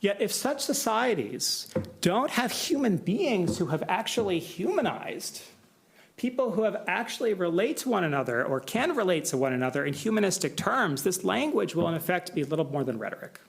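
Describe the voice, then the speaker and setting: An American man delivering an academic symposium lecture, measured and explanatory, with frequent asides. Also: sits high, sing-song, creaky. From United States. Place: lecture hall.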